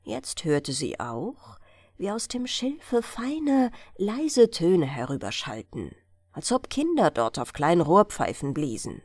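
A woman reads a bedtime fairy tale as an audiobook narrator. Her delivery is measured and unhurried, with very lively intonation. The sound is dry and close. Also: on the low side, dry recording.

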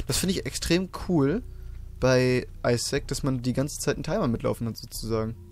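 A hoarse man casually commenting on his gameplay, chatty and swearing now and then as he explains things to viewers. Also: medium pitch, expressive, a little fast, darkish tone, slight background noise. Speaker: let's play host.

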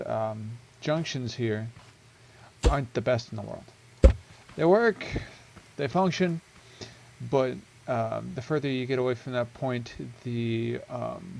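Gravelly young man explaining a game build. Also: mid-range voice, takes it slowly, background noise. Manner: rambling, self-correcting, chatty with many ums. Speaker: gaming video creator. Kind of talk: casual game build walkthrough. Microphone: headset.

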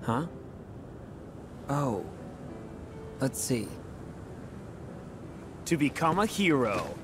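A man's voice: mid-range voice, very animated, drawn-out words, music in the background. A man voicing an earnest, idealistic young soldier hero in a video game, with a casual, open and emphatic manner. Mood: hopeful, playful. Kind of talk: dramatic video game voice acting.

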